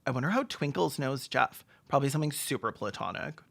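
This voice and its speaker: A video essay host doing sarcastic comedic commentary with mock-serious, deadpan jokes. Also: mid-range voice, fast, vocal fry, slight background noise.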